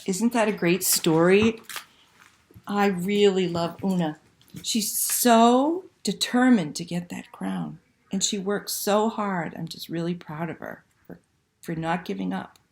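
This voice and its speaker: A woman telling a picture-book story to children in a dramatic, expressive read-aloud style. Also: mid-range voice, slow, creaky. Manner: dramatic, animated delivery with hushed passages and exclamations.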